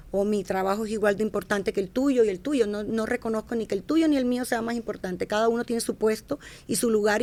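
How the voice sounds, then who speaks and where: A woman's voice: medium pitch, mellow. A middle-aged woman, telling stories about her career as a podcast guest. She restarts and corrects herself often and acts out quoted speech. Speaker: podcast guest, fashion entrepreneur. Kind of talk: casual interview storytelling.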